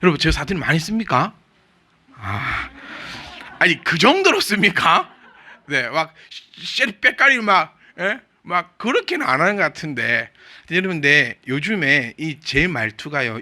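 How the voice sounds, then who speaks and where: A man with a Gyeongsang accent preaches in a conversational, joking way. His voice sits high and bright, and his pitch swings widely as he asks his listeners questions. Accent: Gyeongsang Korean. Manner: chatty and humorous, asks the congregation questions. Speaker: pastor. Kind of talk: conversational sermon.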